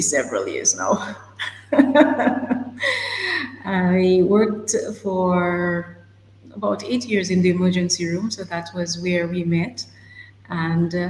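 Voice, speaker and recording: A woman, a cardiologist guest on a live online talk show, speaking in a relaxed, measured way over a video call. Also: on the low side. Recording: echoey room with a faint hum.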